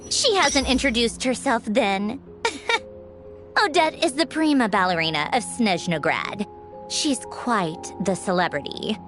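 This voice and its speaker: A gracious, lively noblewoman. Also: fairly high pitch, slow pace. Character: poised noblewoman official, gracious and knowing. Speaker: game character. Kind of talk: game voice acting. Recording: faint game music behind.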